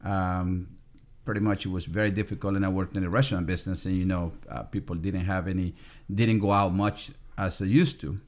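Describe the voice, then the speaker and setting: A man from El Salvador, Spanish native, with a Salvadoran accent, talking as a guest in a casual interview, halting with many fillers. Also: low voice, steady tone, darkish tone. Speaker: restaurant owner guest.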